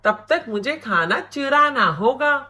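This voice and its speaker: A woman voicing a lazy, thieving male crow husband in an animated moral story, sheepish and protesting with drawn-out words. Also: high voice, full character voice. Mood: cheeky protest.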